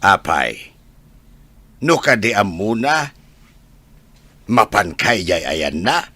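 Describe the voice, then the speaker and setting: A grumpy, dismissive old grandfather , played by a man, in an Ilocano radio drama. His voice is raspy and forceful with very lively pitch swings, recorded close and dry as he grumbles bluntly. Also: takes it slowly, dry recording, heavily acted. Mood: irritated.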